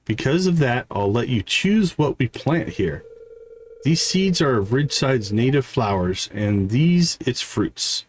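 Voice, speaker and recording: A man plays a grave, scholarly village wizard from a video game, speaking formally and deliberately. The pitch is middling and there is some background noise. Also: animated, lightly acted. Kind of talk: reading video game dialogue aloud.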